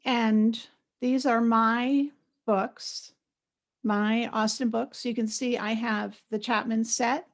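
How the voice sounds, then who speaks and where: An older woman with a soft voice gives an informal online lecture in a conversational, hesitant way, pausing often. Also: on the high side, fairly even intonation. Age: older, around 70. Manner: conversational, hesitant, with frequent pauses. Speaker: guest lecturer, art historian and curator. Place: home office, on a video call. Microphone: added external microphone on a computer.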